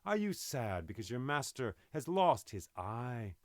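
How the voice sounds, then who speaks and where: A man's voice: medium pitch, sing-song, measured pace, dry recording, clean studio sound. A man voicing a huge, vengeful one-eyed giant who has been blinded. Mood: tender.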